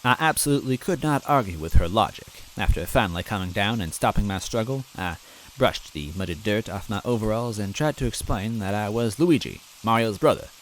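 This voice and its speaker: Guy reading a story in first person, low voice, earnest and kinda timid, faint rain behind. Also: lively intonation, brisk, few pauses, a little hiss or hum, low-quality recording. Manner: earnest and timid, self-deprecating. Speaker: story reader.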